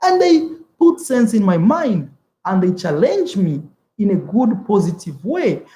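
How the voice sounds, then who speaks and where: A man's voice: high-pitched, big pitch swings, a little slow, mellow, roomy sound. A young man from East Africa whose first language is Swahili, chatting casually on a travel livestream, rambling, repeating words and calling the viewer "man". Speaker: travel vlogger.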